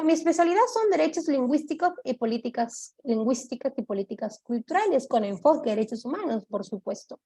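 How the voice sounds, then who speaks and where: A woman giving an online law lecture, explaining step by step, often checking in with her listeners and pausing on "eh". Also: on the high side, darkish tone. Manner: explanatory, checks in with "¿de acuerdo?", frequent "eh" fillers.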